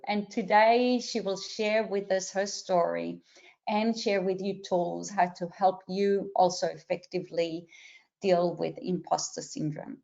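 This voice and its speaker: A middle-aged woman with a mixed Israeli, Australian and American accent hosts a webinar, explaining each step clearly and pausing now and then. Her voice sits at a medium pitch. It comes through a video call, slightly muffled and lacking crisp highs. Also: a little mellow. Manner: clear and instructive, with some filler pauses. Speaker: webinar host and career coach. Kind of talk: webinar introduction.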